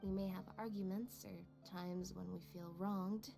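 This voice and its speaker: An actress playing a calm, reserved young woman in a video game, speaking in an even, understated way. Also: medium pitch, measured pace, vocal fry. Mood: earnest. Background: game soundtrack music.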